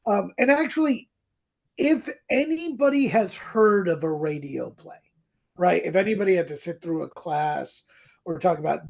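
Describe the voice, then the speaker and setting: A podcast co-host chatting casually and comedically about old radio drama, with a theatrical, mock-dramatic manner and a husky voice. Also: medium pitch, darkish tone.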